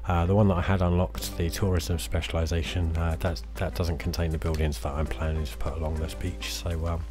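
A man, slightly hoarse from losing his voice, casually talking through his city-building game with lots of fillers. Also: deep voice, fairly quick. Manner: relaxed, self-deprecating, many fillers. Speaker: let's play host. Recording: music playing behind the voice.

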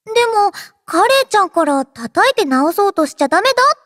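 An affectionate, mischievous little robot girl from an anime game. Her voice is extremely high and childlike, swinging widely in pitch. She sounds cutesy and teasing, recorded very dry. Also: very animated, fairly quick, fairly bright tone, no echo, heavily acted. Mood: flustered. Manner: cutesy, refers to herself in third person, teasing. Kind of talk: anime game character voice lines.

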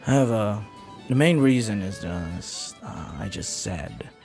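A man playing a flirty, earnest pirate captain in an intimate audio roleplay, speaking softly and haltingly with tender devotion. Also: fairly low pitch, expressive, creaky, soft background music, exaggerated voice. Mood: sincere, hopeful. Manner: soft, halting, tender, sometimes near whisper.

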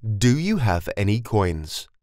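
Course translation voice, expressive pitch, slow, dry booth. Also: no room sound. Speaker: English translation speaker. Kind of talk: plain reading of phrase translations.

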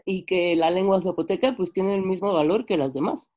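Mexican woman, twenties, lowish voice, conversational and halting, informal sharing over a video call from home. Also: darkish tone. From Mexico, French-Mexican. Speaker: seminar participant, filmmaker. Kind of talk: informal sharing in an online discussion. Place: bedroom, indoors on a video call. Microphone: computer microphone on video call.

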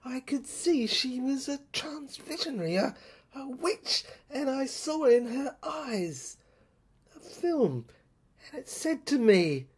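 A woman's voice: sits high, sing-song, slow pace, a little mellow, husky, exaggerated voice. A woman performing a haunted, agitated narrator in an audio drama monologue, stammering and repeating words. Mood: entranced, haunted. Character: haunted, agitated narrator recalling an uncanny asylum.

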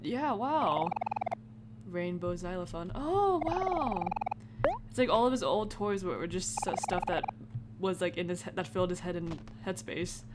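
Low-voiced woman doing casual game commentary, noisy recording. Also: expressive, a little slow. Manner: informal, reactive, exclaims and mutters asides. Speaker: gamer narrating a playthrough. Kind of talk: casual let's play commentary, reading game text aloud. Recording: heavy background noise, clicks.

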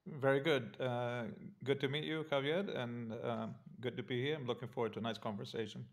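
An older man from Argentina, a guest on a video call, telling his life story in a relaxed, measured way with many pauses and fillers. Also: mid-range voice. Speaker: interview guest, political scientist. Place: home study with bookshelves. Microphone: video call webcam.

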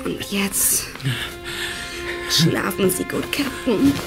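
An actress playing a composed young blind nurse with a soothing, deliberate way of talking and a hidden cold streak, in a German audio drama. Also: female voice, medium pitch, animated, creaky, full character voice, low-quality recording. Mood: hushed, soothing. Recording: music behind the voice.

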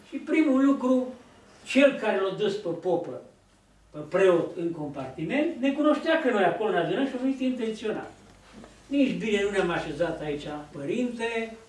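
Older male preacher, high voice, lively storyteller; distant mic in an echoey hall. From Romania. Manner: storytelling, acts out quoted dialogue, gestures widely. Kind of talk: sermon. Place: church hall, at a lectern. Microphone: far camera.

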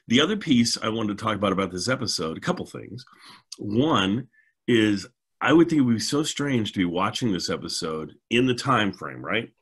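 A man's voice: fairly low pitch, fairly bright tone, husky. A middle-aged American man hosting a casual, chatty discussion over a video call, with an enthusiastic manner. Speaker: show host. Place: home, indoors, video call.

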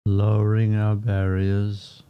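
A man guiding a meditation in a calm, unhurried manner, speaking in short phrases with long pauses between them. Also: on the low side, clear, resonant tone. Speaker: meditation guide. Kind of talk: guided meditation narration. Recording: muffled, dull lo-fi sound. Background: background music.

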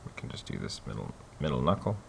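A man hosting a casual screen-recorded software tutorial, relaxed and full of fillers and restarts. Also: extremely low pitch, fast talker, vocal fry, slight background noise.

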